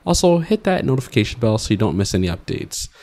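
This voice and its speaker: A man's voice: fairly low pitch, big pitch swings, fast talker, dark tone. A man doing an upbeat, scripted voice-over for a product review as a tech vlogger. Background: background music.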